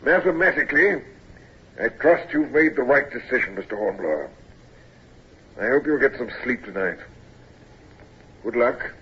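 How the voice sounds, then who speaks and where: An actor playing a stern, anxious older naval officer in an old radio drama, speaking formally and with command. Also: male voice, mid-range voice, lively intonation, normal speed, pauses often. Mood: grave, urging. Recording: muffled, husky-sounding old radio recording.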